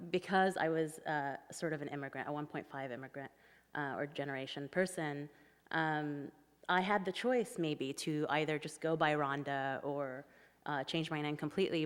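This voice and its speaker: Low-voiced woman on a panel stage. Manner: candid, chatty, laughs often. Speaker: panel author. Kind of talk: panel discussion. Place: stage of an event hall. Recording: reverberant hall.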